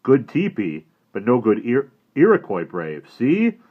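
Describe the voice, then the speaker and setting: A proud, stern little warrior snaps out clipped, broken commands, as a man puts him on. The voice is pushed up high and loud above the reader's deep register. The recording sounds slightly dull and muffled. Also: huge pitch swings, measured pace, slightly rough, exaggerated voice. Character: tiny stern proud male warrior figure.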